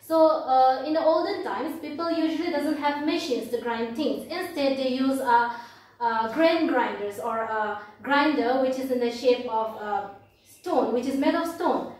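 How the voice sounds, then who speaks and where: A young woman with an Indian accent and a high-pitched voice teaches an English class. She explains in halting, repeated phrases and reads aloud as a teacher would. The room is very echoey and she sounds distant from the microphone. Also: darkish tone. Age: young adult. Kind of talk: classroom lesson, explaining and reading a poem aloud. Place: indoor classroom at a blackboard.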